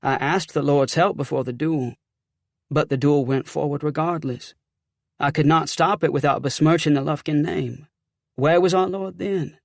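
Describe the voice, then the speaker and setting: A man voicing a guilt-ridden, genteel Southern gentleman in an audiobook, speaking formally and with anguish. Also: a little high, moderate pace, gravelly, dry recording, clean studio sound. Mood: anguished, bitter. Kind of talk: audiobook character acting.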